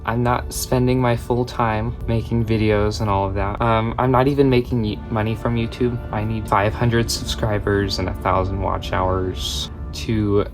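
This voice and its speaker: A man's voice: fairly low pitch, level tone, normal speed, hardly any pauses. A young man in his late teens, a crochet vlogger, talking through a project in a chatty, rambling way that drifts off topic. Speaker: crochet vlogger and small shop owner. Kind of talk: casual crochet tutorial chat. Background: background music.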